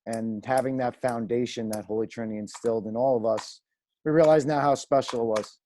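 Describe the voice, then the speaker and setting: A man in his thirties hosting a panel, hesitant and halting, with many "uh" and "um". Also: mid-range voice, steady tone, warm tone, slight background noise. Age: 30s. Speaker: host.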